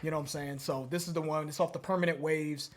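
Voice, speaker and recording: Brief: hoarse man in his 40s, animated co-host, desk mic in a home studio, fairly high voice. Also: fast. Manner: animated, laughing, bursts of loud excitement. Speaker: reaction show co-host. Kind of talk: casual chat. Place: dark home studio with city backdrop. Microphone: desk mic on boom arm.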